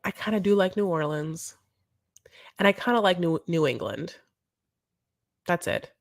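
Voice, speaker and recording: A woman's voice: fairly low pitch, expressive, unhurried, creaky, no echo. Chatty, campy woman, dry close mic. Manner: chatty, campy, rambling asides. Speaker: show recap host. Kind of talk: casual live recap chat.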